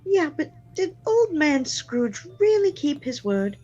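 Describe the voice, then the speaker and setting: A woman as a high-voiced, curious young girl. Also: sing-song, takes it slowly, warm tone, lo-fi sound, heavily acted. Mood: skeptical, curious. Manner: questioning, doubtful. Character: skeptical, curious ten-year-old girl listening to a story.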